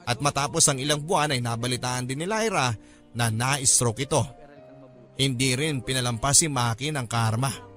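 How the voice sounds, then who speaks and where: A man's voice: mid-range voice, fairly bright tone, lightly acted. A man with a Filipino accent voicing an earnest, caring letter writer who narrates a radio drama in a respectful storytelling manner. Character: earnest, caring male friend recounting his story by letter. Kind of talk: scripted first-person radio drama narration.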